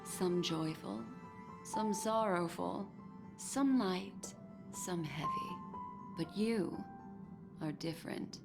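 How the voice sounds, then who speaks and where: A woman's voice: lowish voice, slow pace, creaky, pauses often. An actress voicing an enigmatic, teasing and seductive woman in a video game, poised and coy with lingering, theatrical phrasing. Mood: solemn, admiring. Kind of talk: scripted video game character dialogue. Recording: clean voice over game music.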